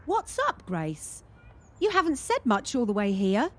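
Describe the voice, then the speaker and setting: A woman's voice: on the high side, huge pitch swings, unhurried, slight background noise. A woman acting in a radio play as a young gymnast confiding with her wary teammate. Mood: probing, frank.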